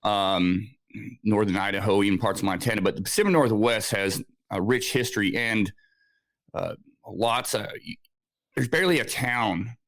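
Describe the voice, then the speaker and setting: A man's voice: on the low side, no echo. A man in his forties, born in Scotland, telling his story as a podcast guest, rambling with many fillers and restarts. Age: 40s. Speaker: guest researcher. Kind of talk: casual interview storytelling.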